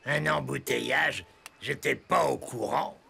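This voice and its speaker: A man playing a gruff, blustery old bearded pirate captain with a gravelly voice in a children's cartoon. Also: medium pitch, unhurried, bright, forceful tone, slight background noise, heavily acted. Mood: flustered. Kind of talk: animated children's cartoon dialogue.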